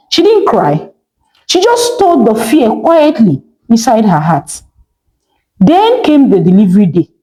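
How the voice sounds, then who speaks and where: A woman's voice: on the high side, mellow, rough recording. A woman with a Nigerian accent narrating a scripted story in a theatrical way, with emphatic short phrases and rhetorical questions.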